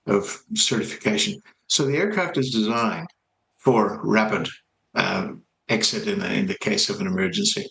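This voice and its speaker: A man giving explanatory expert commentary in a news interview, speaking in a halting, measured way. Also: lowish voice, gravelly, a bit bright, echoey room, rough recording. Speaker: aviation expert being interviewed.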